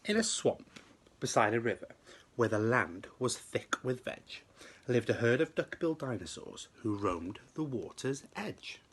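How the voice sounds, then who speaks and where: A man reading a children's storybook aloud like a teacher to pupils, with expressive, emphatic, rhythmic delivery. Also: mid-range voice, measured pace, breathy edge.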